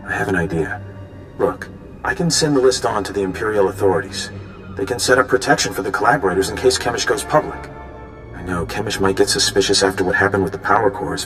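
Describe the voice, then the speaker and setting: An actor playing an idealistic young resistance informant who talks in an earnest, friendly way. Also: male voice, fairly low pitch, a bit bright.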